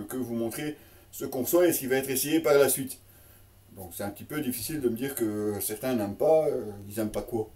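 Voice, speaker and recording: A man hosting a casual, unscripted product presentation, chatty and tongue-in-cheek, with a halting delivery that repeats words. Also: medium pitch, warm tone, distant mic. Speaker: host of a motorcycle gear unboxing channel.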